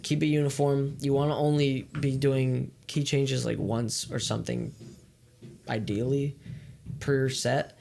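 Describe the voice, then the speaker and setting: A man's voice: medium pitch, flat delivery, a little slow, gravelly, a little mellow, a little hiss or hum. A man who leads worship, chatting casually on a podcast and explaining things loosely with restarts. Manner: rambling, restarts mid-thought, explains loosely. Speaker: worship leader and podcast co-host.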